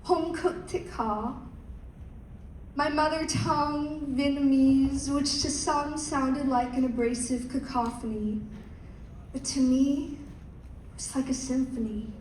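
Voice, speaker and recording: A young woman, a pageant contestant, reciting a rehearsed speech in a slow, measured way. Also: high voice, steady tone, darkish tone, slight background noise. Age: young adult. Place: large hall. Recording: echoey hall from far off.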